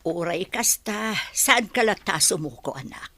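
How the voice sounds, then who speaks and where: A woman's voice: fairly low pitch, lively intonation, measured pace, bright, forceful tone, full character voice. A woman playing an elderly mother who gives patient, comforting advice in a radio drama. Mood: consoling.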